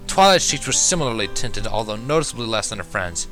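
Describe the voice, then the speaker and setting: Expressive, bright-voiced man reading a story. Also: fairly low pitch, fast, soft background music. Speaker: fan fiction reader. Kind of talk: expressive first-person story narration.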